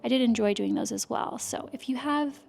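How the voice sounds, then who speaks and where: A soft-spoken woman chatting casually to camera in her home art studio, talking through her work with lots of fillers. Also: on the high side, fairly quick, vocal fry. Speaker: illustrator vlogger. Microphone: external microphone.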